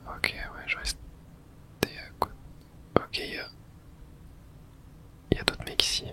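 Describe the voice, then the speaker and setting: Constant soft whisper, unclear sex. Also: measured pace. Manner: whispering throughout, unvoiced. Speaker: gaming ASMR streamer. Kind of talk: whispered ASMR gameplay commentary, reading game subtitles aloud.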